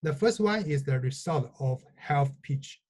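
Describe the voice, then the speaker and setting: A man presenting research in a scripted technical talk, reading phrase by phrase in a halting way. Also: a little high, animated, noisy background.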